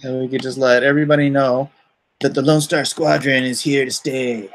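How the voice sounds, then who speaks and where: A man hosting a livestream in a casual, chatty way, informal and given to excited exclamations, often halting as he goes. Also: mid-range voice. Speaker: livestream host and comic artist. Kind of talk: casual livestream chat, reacting and thanking viewers.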